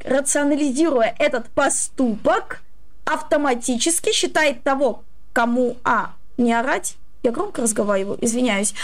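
High-voiced young woman explaining casually. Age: 20s. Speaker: streamer giving advice.

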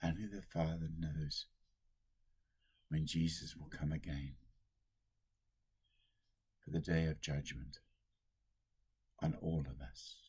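An older man, a priest, giving a solemn sermon at a slow, deliberate pace, recorded on a webcam in a small room at home. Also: a bass voice, steady tone, a little mellow, slightly rough.

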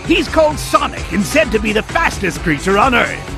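An actor playing a scheming older mad scientist who talks in an oily, mock-polite, theatrical way. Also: male voice, extremely high pitch, big pitch swings, moderate pace, gravelly, over music, heavily acted.

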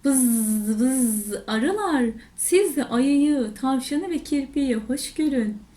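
A woman's voice: high voice, animated, slow, dark tone. A woman voicing a tolerant frog in a children's story, a helpful peacemaker who calls out instructions. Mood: calm, coaxing. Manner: urging, calling out instructions. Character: easygoing, tolerant frog, urgent helper and peacemaker.

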